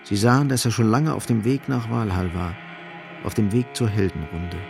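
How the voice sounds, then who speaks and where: Male narrator, lowish gravelly voice, measured, grave, literary radio drama storytelling. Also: music in the background.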